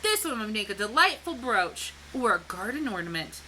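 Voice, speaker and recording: A woman's voice: medium pitch, big pitch swings, normal speed, bright, forceful tone, slight background noise, poor audio. A woman voicing fascinated, well-to-do lady shoppers in a storybook, exclaiming with delight. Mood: intrigued.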